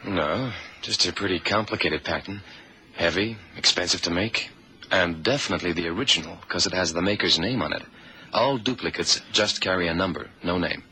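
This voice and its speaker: An actor playing a terse, matter-of-fact FBI sidekick in a radio drama. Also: male voice, low voice, brisk, pushed and bright, low-quality recording. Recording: 1970s radio drama, faint noise.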